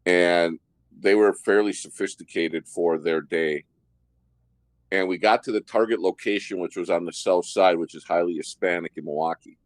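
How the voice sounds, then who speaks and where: A man hosting a podcast, telling a detailed story about his undercover work in a steady way. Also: low-pitched, moderate pace, no room sound. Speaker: host, former undercover officer.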